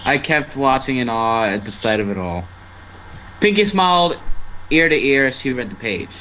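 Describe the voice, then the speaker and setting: A man reads a fan story as its narrator. His voice sits at an average pitch, with casual storytelling and occasional stumbles over the script. The amateur stream carries faint noise. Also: lively intonation, normal speed, bright, forceful tone. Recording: noisy amateur online stream.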